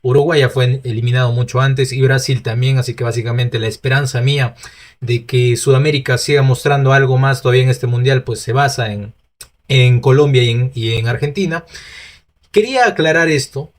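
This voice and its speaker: Peruvian Spanish man, mid-pitched, chatty solo pundit who restarts lines and asks himself questions. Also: brisk. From Peru. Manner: talkative, restarts often, rhetorical asides. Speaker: football opinion host. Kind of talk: solo opinion commentary.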